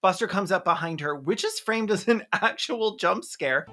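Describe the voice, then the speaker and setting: A video essayist giving a sarcastic, comedic movie recap, with snarky deadpan asides and mock-dramatic exclamations. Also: mid-range voice, normal speed, soft background music. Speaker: video essayist, film reviewer.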